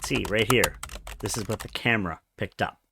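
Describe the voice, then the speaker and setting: An actor playing a blunt, sarcastic, unimpressed shop worker in a comedy radio play. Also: male voice, on the low side, moderate pace, gravelly, pushed and bright, slightly rough, full character voice.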